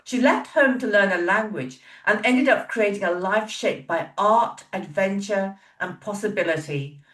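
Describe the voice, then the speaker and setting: A woman's voice: mid-range voice, unhurried, fairly bright tone. Upbeat woman hosting a podcast. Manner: upbeat, emphatic, motivational. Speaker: podcast host. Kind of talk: scripted intro then casual interview chat. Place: home, in British weather. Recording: roomy echo, a bit far from the mic, faint music.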